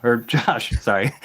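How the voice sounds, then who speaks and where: A man's voice: mid-range voice, fairly bright tone, breathy edge, no echo. A man hosting a table read as its writer, speaking in an informal, friendly way. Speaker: writer and host. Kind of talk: casual chat introducing a table read.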